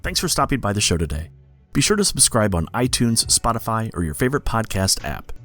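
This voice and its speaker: A man's voice: fairly low pitch, sing-song, brisk, fairly bright tone. A man hosting a podcast, reading a scripted outro. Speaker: podcast host. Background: faint music.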